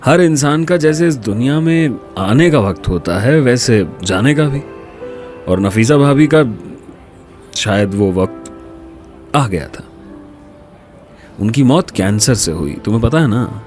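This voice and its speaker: A man's voice: medium pitch, lively intonation, unhurried, pauses often, darkish tone, soft background music, close to an everyday voice. An actor as a frank, chummy male college dean. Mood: concerned, earnest. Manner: informal, chummy, earnest. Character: genial, frank male college dean and loyal old friend. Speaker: actor in an audio drama. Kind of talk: Hindi radio drama acting.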